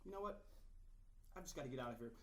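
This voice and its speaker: A man's voice: mid-range voice, expressive, fast, a bit bright, natural voice. Man acting a vain, wounded boyfriend, echoey room. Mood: hurt, indignant. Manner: self-important, dramatic. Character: vain, wounded boyfriend, self-pitying and theatrical. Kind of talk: table read acting of a comedy script. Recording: echoey room, distant mic.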